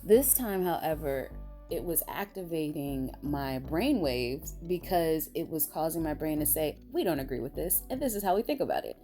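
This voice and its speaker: A woman vlogger with a raspy voice, sounding tired, talking in a rambling, blunt and emphatic way. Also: sits low, lively intonation, normal speed, dark tone, faint music behind. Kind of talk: casual opinion monologue.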